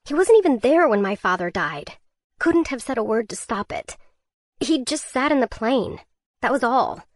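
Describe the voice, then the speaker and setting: A woman narrating a first-person survival thriller audiobook in a tense, measured way. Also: a little high, lively intonation, no echo, clean studio sound.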